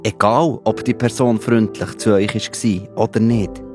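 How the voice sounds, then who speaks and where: Male storyteller for children's Bible audio, lowish voice, Swiss German accent, faint music underneath. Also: fairly even intonation, a little slow.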